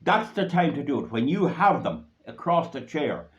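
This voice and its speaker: Irish man, political talk, blunt, rambling, forceful, muffled lo-fi sound. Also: on the high side, big pitch swings, normal speed. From Ireland. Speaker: political activist. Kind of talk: political speech to supporters. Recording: dull lo-fi recording.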